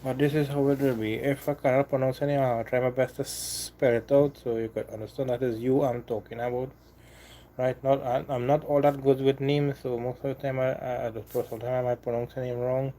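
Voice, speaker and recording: A man with a Trinidadian accent talks casually to his live stream viewers, his voice mellow and mid-pitched. He stumbles, repeats words and restarts his sentences, and he sounds apologetic one moment and firm the next. Faint background noise sits under his voice. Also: poor audio. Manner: halting, repeats himself, apologetic then defiant. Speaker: livestream host.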